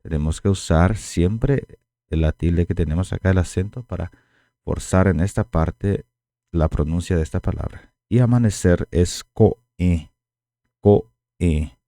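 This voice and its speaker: A man teaching a language lesson, speaking slowly and repeating each word for learners to copy, with Guarani as his first language. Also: low-pitched, warm tone, no echo.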